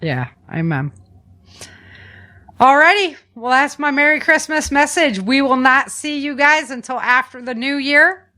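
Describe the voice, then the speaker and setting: A woman's voice: sits high, a little slow. Casual, folksy woman podcast host. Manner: animated, folksy, informal asides. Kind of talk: casual news chat to listeners.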